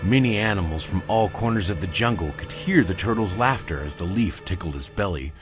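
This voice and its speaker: A man's voice: low voice, lively intonation, brisk, music in the background. A man reading a children's story as a scripted storybook narrator.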